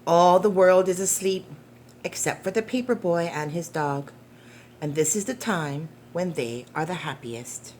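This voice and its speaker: A woman reading a picture book aloud to young children in a gentle, deliberate, expressive way, with questions put to the listeners. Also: on the low side, slight background noise. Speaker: storytime reader for preschoolers.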